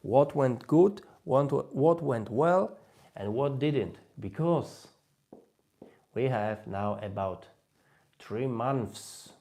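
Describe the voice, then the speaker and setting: A man from Poland, a native Polish speaker, giving an informal livestream talk with a Polish accent, halting and often rephrasing himself. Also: mid-range voice, animated, warm tone, slight background noise. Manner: halting, repeats and rephrases phrases. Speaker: host, language learner giving advice.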